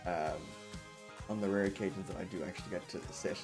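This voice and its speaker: A man's voice: deep voice, fairly even intonation, brisk, creaky, music in the background. A man streaming in a casual, rambling way, thinking aloud and putting himself down. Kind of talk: casual livestream chat.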